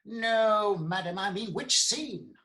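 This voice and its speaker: A woman's voice: medium pitch, slow pace, fairly bright tone. A woman playing a formal, persistent lawyer questioning witnesses in a comic courtroom play. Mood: probing. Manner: formal, inquisitive.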